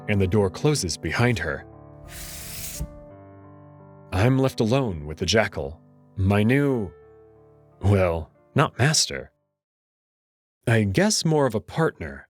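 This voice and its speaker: Guy playing a young student narrator, introspective n a bit hesitant, fairly low voice, music under it. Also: sing-song, slow, pauses often, exaggerated voice. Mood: brooding, subdued. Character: young human man, uneasy, stubborn student narrator. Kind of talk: first-person narration with acted dialogue.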